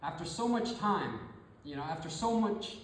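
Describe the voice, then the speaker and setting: An actor performing a theatrical monologue as a rambling, sentimental storyteller, speaking casually with halting, emotional repetitions. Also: medium pitch, lively intonation, close to an everyday voice. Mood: wistful, moved. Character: rambling, sentimental storyteller moved by a family reunion, turning zealous. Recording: very echoey hall, distant mic, music behind.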